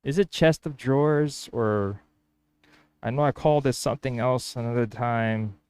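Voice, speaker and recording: Casting: male woodworker with Spanish as his first language. Smooth, mid-pitched voice, close dry sound, rambling workshop talk. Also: measured pace, darkish tone, no room sound. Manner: thinking aloud, hesitant restarts. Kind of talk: casual workshop vlog narration.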